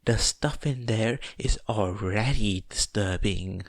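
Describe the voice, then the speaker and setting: A man's voice: lowish voice, slow pace, no echo, studio quality. A man voicing an anxious, casual teenage student with a hesitant manner in an audiobook. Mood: uneasy, wry.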